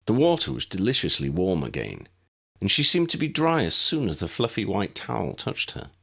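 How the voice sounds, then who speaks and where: A man's voice: fairly low pitch, huge pitch swings, normal speed, gravelly, fairly bright tone. A man narrating an audiobook story in a scripted storytelling style.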